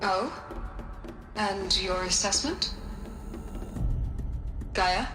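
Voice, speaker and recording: A woman's voice: medium pitch, very slow. A woman playing a resolute, compassionate scientist in a video game, plain-spoken and firm. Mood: thoughtful, encouraging. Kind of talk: video game cutscene acting. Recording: echoey room, music behind.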